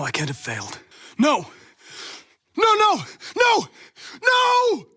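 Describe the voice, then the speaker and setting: An actor playing a polite, earnest young male android police investigator in a video game, speaking in precise, formal, measured diction. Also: high-pitched, fairly bright tone, no echo, clean studio sound, heavily acted. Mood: anguished. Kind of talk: scripted video game dialogue.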